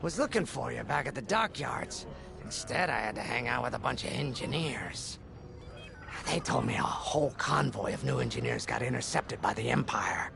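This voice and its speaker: A man playing a chatty, irreverent rebel pilot in a video game, with a gravelly voice and a breezy, conspiratorial way of talking. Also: medium pitch, animated, normal speed, music in the background. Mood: casual, wry. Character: chatty, irreverent rebel squadron pilot, gossipy and laid-back. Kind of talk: video game cutscene dialogue.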